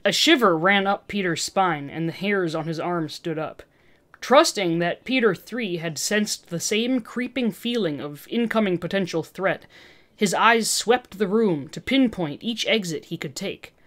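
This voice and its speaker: A woman narrating fan fiction in an expressive storytelling style. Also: lowish voice, measured pace.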